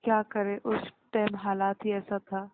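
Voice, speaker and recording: A woman's voice: medium pitch, monotone, a little slow. A young woman in a casual, recorded phone conversation, speaking plainly and earnestly. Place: phone call. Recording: very noisy phone line with clicks.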